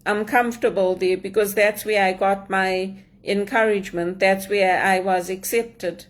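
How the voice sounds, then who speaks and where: A woman's voice: medium pitch, fairly even intonation, unhurried. A woman from Zimbabwe in an earnest personal monologue, aggrieved, repeating phrases for emphasis. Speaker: immigrant sharing her story.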